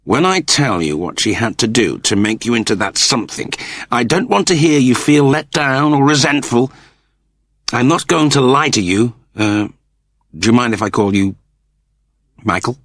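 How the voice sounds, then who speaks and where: A man voicing an awkward, self-doubting middle-aged father in an audiobook, halting and earnest. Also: mid-range voice, animated, a bit bright, no room sound. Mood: earnest, insistent, then awkward, hesitant. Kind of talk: dramatised audiobook dialogue.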